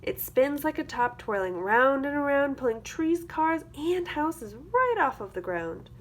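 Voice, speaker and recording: A woman reading a children's picture book aloud as a teacher, with expressive, rhythmic delivery. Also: high-pitched, unhurried. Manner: rhythmic, expressive delivery of rhyming verse with explanatory asides. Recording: clear background noise.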